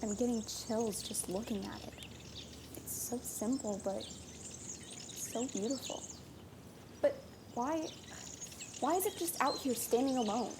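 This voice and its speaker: A young woman playing a cautious, wondering high school girl in an audio drama, gentle and measured. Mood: awed. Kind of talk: naturalistic audio drama acting. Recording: noisy outdoor recording with birds. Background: birdsong, forest ambience.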